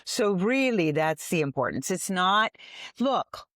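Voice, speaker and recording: A woman co-hosting a podcast, talking in an unhurried, emphatic and reflective way. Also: lowish voice, sing-song, fairly bright tone, no room sound, clean studio sound. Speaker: podcast co-host. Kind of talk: reflective conversational chat.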